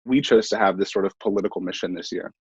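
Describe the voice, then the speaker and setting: A young man with a low voice speaks thoughtfully in an interview, pausing as he searches for words. The sound is roomy and slightly muffled. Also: husky. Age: young adult. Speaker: stage management graduate student.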